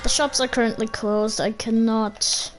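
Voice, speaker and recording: A woman's voice: a little high, fairly even intonation, measured pace, background noise. A woman doing casual gameplay commentary as a streamer, complaining in a blunt way. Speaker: game streamer. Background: game music.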